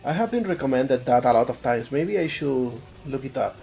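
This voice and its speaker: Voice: mid-range voice, animated, moderate pace, creaky, warm tone, faint music behind. A speaker from Spain with an Andalusian accent and Spanish as a first language, chatting casually on a live stream while working and thinking aloud, often repeating words. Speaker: game developer streaming his art.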